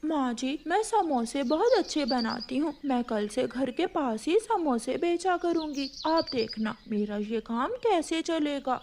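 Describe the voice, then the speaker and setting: A woman's voice: sits high, lively intonation, a little slow, hardly any pauses, a little mellow, slight background noise. A woman voicing an honest, principled young daughter-in-law crow in a children's cartoon. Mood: earnest persuading.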